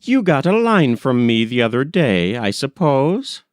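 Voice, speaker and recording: A man's voice: medium pitch, sing-song, unhurried, no echo, clean studio sound. A man voicing a hearty, forthright, middle-aged working-class man in an audiobook story. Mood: brisk.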